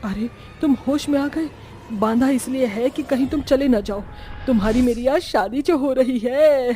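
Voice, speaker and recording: A woman's voice: high-pitched, a little slow, mellow, soft background music. A woman voicing a young, lovestruck and bossy witch bride in a horror-comedy cartoon. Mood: insistent.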